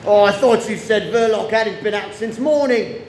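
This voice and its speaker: Man playing a high-voiced, seasoned police chief. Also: moderate pace, a little mellow. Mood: inquisitive, brisk. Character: grave, seasoned male police superintendent. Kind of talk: radio play acting. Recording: echoey room with faint noise.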